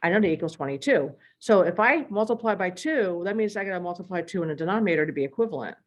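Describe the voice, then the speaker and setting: A woman teaching a math lesson while losing her voice, clearing her throat and walking through examples step by step. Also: on the low side, animated, quick pace.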